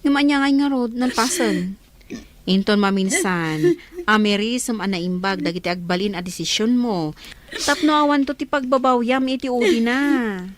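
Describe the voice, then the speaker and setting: A woman's voice: a little high, normal speed, lightly acted. A woman with an Ilocano accent playing a stern older sister, scolding and advising in a radio drama. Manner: scolding, admonishing.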